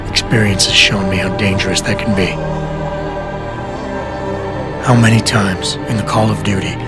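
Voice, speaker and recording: A man's voice: fairly low pitch, steady tone, brisk, natural voice. A man playing a grim, weary augmented agent. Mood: grim reflection. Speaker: game protagonist. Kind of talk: video game voice acting, closing monologue. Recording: game audio with loud music bed.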